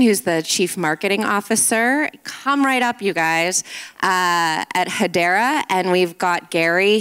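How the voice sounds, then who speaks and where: A woman's voice: medium pitch, fairly bright tone, clear, resonant tone. A woman moderating a conference panel on stage, speaking fluently and upbeat as she frames the discussion. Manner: fluent, upbeat, framing remarks.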